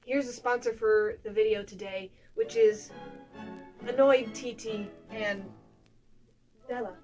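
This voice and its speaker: A young woman giving a mock-dramatic, deliberately hammy reading for her video audience, with drawn-out emphasis. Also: a little high, steady tone, a little mellow, faint music behind, poor audio. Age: young adult. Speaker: video creator presenting to subscribers.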